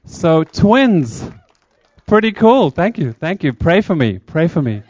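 A man's voice: on the high side, big pitch swings, takes it slowly, a little mellow, no echo, muffled recording. A man from South Africa with a South African accent, giving a chatty, engaging talk to a church congregation as a charity speaker. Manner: chatty, engaging, asks the crowd questions.

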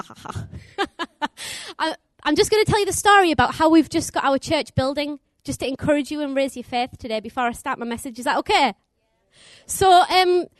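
Young woman missionary preacher, upbeat and chatty, put-on American twang, high voice, dry sound. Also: no echo. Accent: put-on American twang on English. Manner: chatty, laughs often, upbeat. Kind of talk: sermon. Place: church.

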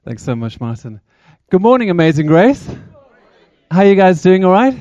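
A man's voice: high voice, big pitch swings, normal speed, darkish tone, no echo, muffled recording. A man from South Africa with a South African accent, giving a chatty, engaging talk to a church congregation as a charity speaker. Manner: chatty, engaging, asks the crowd questions.

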